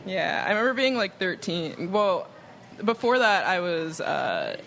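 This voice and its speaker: A young woman with a deep voice, a guest musician who answers hesitantly and laughs often. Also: very animated, unhurried, gravelly, a bit bright. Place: bar during happy hour.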